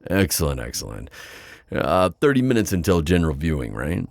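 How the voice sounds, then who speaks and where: A man playing a suave, skeptical demon buyer in an ASMR audio roleplay, with a deep voice and a sardonic, halting manner. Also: sing-song, creaky, dry recording, studio quality, full character voice. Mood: shrewd, bargaining. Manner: flirty, sardonic, hesitant pauses and drawn-out words.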